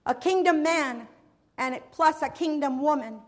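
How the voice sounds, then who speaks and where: A woman preaching a sermon in a church, earnest and measured, with a prayer full of repeated invocations. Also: high voice. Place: church sanctuary.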